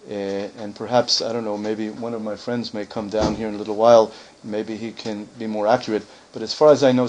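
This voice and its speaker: A man's voice: lowish voice, darkish tone, poor audio. A man speaking for a community, answering a visiting group's questions in a conversational way, with many fillers and self-corrections.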